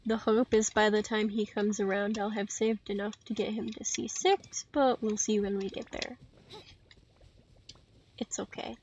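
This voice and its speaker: A woman giving casual solo commentary on a game stream in a playful, dryly self-deprecating, halting way. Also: mid-range voice, fairly even intonation, darkish tone. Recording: dull lo-fi mic with faint noise and clicks.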